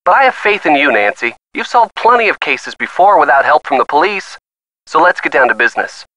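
An actor playing a friendly, supportive college-age boyfriend in video game phone dialogue, chummy and encouraging. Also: male voice, on the high side, very animated, a little fast, sharp tone, no echo, low-quality recording. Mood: concerned, supportive.

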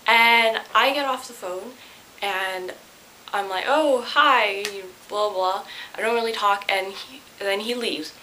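A young woman telling a personal story casually to camera in an animated, slightly flustered way, recorded in a bedroom on a camera a short distance away. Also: medium pitch, slow. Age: young adult. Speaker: vlogger. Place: small bedroom, indoors. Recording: hissy home camera recording.

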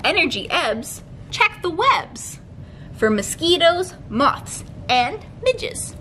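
Slow-paced young woman reading to children. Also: extremely high pitch, very animated, bright, forceful tone, a little hiss or hum, low-quality recording. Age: young adult. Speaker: children's librarian leading storytime. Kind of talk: read-aloud picture book storytime for young children. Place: library room with bookshelves, indoors.

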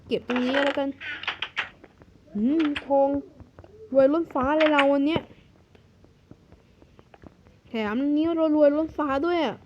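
A woman with a high, light voice narrates a game cartoon and hops from one character's lines to the next. Her delivery is playful and lively throughout. Clear background noise runs under the recording. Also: a little slow, long pauses, dull audio.

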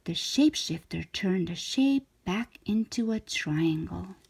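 Slow, expressive woman reading a storybook. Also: fairly low pitch, gravelly, husky. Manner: deliberate, dramatic phrasing for young children. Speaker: children's storybook reader. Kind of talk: expressive scripted read-aloud narration.

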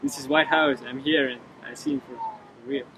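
A man's voice: mid-range voice, fairly even intonation, normal speed. Young Ukrainian-accented man, noisy outdoors. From Kyiv, Ukraine. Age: 20s. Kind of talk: on-camera testimonial. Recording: noisy outdoor pool area.

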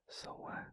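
A young man with an American accent playing an affectionate, teasing werewolf boyfriend in an intimate audio roleplay, in a hushed, breathy, husky voice. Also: slight background noise. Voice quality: breathy, husky, gravelly low whisper. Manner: slow, hushed, intimate, pausing. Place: close, dry studio recording. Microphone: close microphone.

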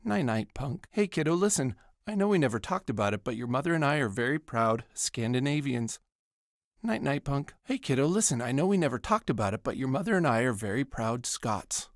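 An actor playing a put-upon, scatterbrained suburban dad in a cartoon video game, talking in a casual, rambling way. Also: male voice, mid-range voice, sing-song, brisk, no room sound, clean studio sound. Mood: calm, fatherly. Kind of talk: scripted video game voice lines.

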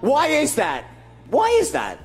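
A man's voice: very high voice, wildly swooping pitch, unhurried, soft background music. A middle-aged man giving a motivational stage speech into a headset mic, emphatic, repetitive and rhetorical. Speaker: motivational speaker. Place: large event stage indoors. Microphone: headset microphone.

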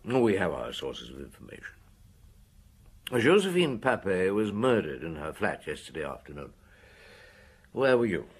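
A man's voice: deep voice, big pitch swings, moderate pace, long pauses, slightly rough, heavily acted. An actor playing a shrewd, weary middle-aged male police detective in a radio drama, questioning people in a probing, matter-of-fact way. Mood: dry, questioning.

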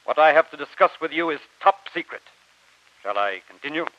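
An actor playing a grave, formal navy commodore with urgent, emphatic authority in a 1940s radio drama. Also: male voice, on the high side, expressive, brisk, lightly acted. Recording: dull, dry 1940s radio recording.

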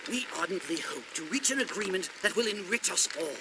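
Flustered, very animated knight. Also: male voice, high voice, a little fast, background noise. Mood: earnest, polite. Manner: hesitant, deferential, earnest. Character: flustered, polite, portly middle-aged male knight. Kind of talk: video game voice acting. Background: music.

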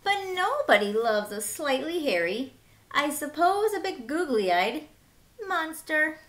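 A woman in her thirties reading a picture book aloud as a teacher, with simple, deliberate phrasing for young children and short asides. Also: sits high, very animated, a bit bright. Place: small room at home, indoors.

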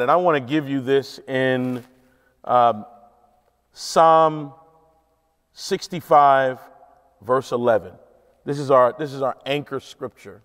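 A man's voice: mid-range voice, fairly bright tone. A middle-aged man preaching a sermon as a pastor, emphatic and repeating phrases for effect. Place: large church auditorium stage. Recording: echoing large hall, long reverb.

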